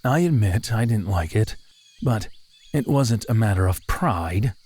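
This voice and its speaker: A man playing a teasing, self-assured, protective hiker in an audio drama, firm and unhurried. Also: fairly low pitch, expressive, darkish tone, breathy edge. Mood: teasing, matter-of-fact.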